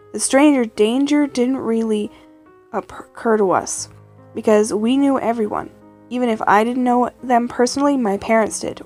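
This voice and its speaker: A woman's voice: mid-range voice, darkish tone. A woman narrating a first-person scary story in an informal, conversational way.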